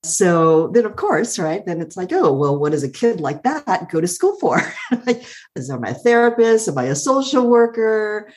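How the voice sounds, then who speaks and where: A middle-aged woman with a calm, soothing voice, thoughtfully telling her life story as an interview guest and laughing often. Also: medium pitch, moderate pace. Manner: warm, thoughtful, laughs often, uses "like" a lot. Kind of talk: reflective personal storytelling.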